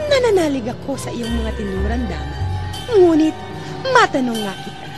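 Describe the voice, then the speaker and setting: A woman's voice: fairly high pitch, wildly swooping pitch, moderate pace. A woman playing a vain, jealous and cruel sultana in an epic drama, haughty and imperious in her theatrical delivery. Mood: smug, vain. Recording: music under the voice.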